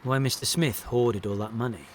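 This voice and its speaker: A man's voice: medium pitch, normal speed, a little hiss or hum, lightly acted. A man with a British accent playing an earnest, touchy jobbing actor in a naturalistic audio drama, speaking colloquially and hesitantly. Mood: tentative, scheming. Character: jobbing actor John, earnest, wistful, touchy, working a council clearance job.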